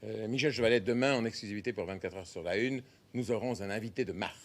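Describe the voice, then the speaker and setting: A man's voice: fairly low pitch, fast talker. A man presenting television news as anchor in a 1970s broadcast style.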